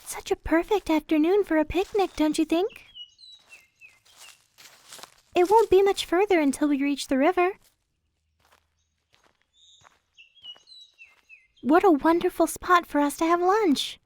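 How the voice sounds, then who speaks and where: A woman playing a gentle, devoted young maid in an ASMR roleplay, speaking in a soft, sweet, tender way. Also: very high voice, brisk, hardly any pauses. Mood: cheerful, tender. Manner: soft, sweet, tender, hesitant when confiding.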